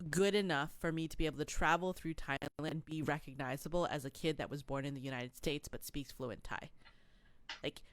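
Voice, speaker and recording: A woman co-hosting a travel podcast in casual chat, telling stories in an animated way with plenty of fillers. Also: low voice, no echo. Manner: chatty, animated storytelling with many fillers. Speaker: podcast co-host.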